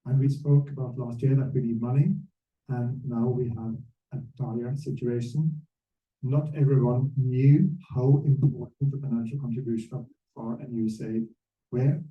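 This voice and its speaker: A man's voice: medium pitch, steady tone, moderate pace, recorded from a distance. Man moderating in a muffled, echoey room. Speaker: panel moderator and speaker. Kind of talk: public panel talk. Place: echoey room at a panel event.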